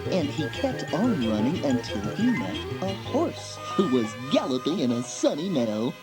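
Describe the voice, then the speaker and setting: A man narrating a children's bedtime story in an expressive, dramatic storyteller's manner. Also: a little high, normal speed. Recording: music behind the voice. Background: music.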